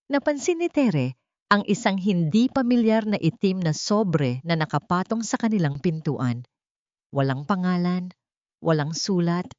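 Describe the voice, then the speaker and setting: A woman's voice: fairly low pitch, darkish tone, no room sound, lo-fi sound. A woman reading a dramatic story as a scripted audiobook narration.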